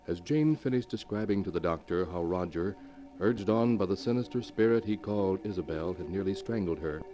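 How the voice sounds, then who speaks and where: A man plays an ominous storyteller of vintage 1940s radio horror. His very deep voice is solemn and portentous, set over prominent music. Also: brisk, lightly acted, low-quality recording. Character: ominous male storyteller reading from a sealed book. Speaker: narrator.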